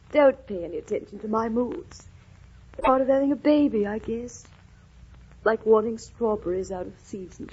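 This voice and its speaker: An actress playing a devoted, moody young expectant wife, soft and wistful, in an old-time radio drama. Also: female voice, on the high side, unhurried, long pauses, husky. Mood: wistful, reassuring. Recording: muffled, noisy vintage radio recording.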